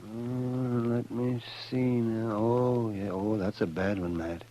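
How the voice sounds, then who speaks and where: An actor playing a crusty, folksy old country doctor who hems and haws in a 1950s radio western. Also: male voice, mid-range voice, steady tone, slow pace, warm tone, close to an everyday voice. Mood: grave, matter-of-fact. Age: older. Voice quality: creaky, gravelly. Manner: hemming, folksy. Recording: dull vintage 1950s radio recording.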